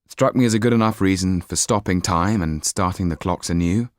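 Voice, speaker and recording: A man's voice: deep voice, fairly quick, dry recording, clean studio sound, close to an everyday voice. A man voicing an earnest, passionate English youth of seventeen in an audiobook story. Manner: earnest, impassioned. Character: idealistic, passionate seventeen-year-old young man.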